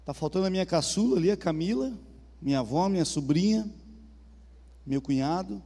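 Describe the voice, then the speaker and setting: Southern Brazilian male pastor, high voice, chatty preaching in a reverberant hall. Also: animated, brisk. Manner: conversational, asks the congregation questions. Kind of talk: sermon. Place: church hall. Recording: reverberant church hall with soft music.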